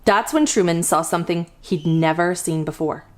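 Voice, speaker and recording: A woman hosting a children's storytime, reading a picture book aloud in an expressive, deliberate storytelling manner. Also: on the low side, slight background noise. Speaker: children's storytime host and reader.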